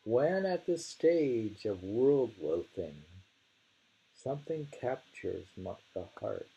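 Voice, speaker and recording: A man with a dark, mellow and gravelly voice reads poetry aloud at an informal pace. He is deliberate with the verse and slow to move on, pausing to muse and wonder about what it means. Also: mid-range voice, sing-song, rough recording. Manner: deliberate delivery when reading, musing and tentative in asides. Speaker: reader of poetry. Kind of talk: reading translated poems aloud with informal commentary.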